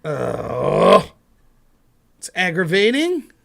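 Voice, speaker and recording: A man's voice: high-pitched, wildly swooping pitch, extremely slow, gravelly, fairly bright tone. A man from Pennsylvania hosting a casual livestream on a headset at home, grumbling to himself with mild curses and airing blunt political opinions.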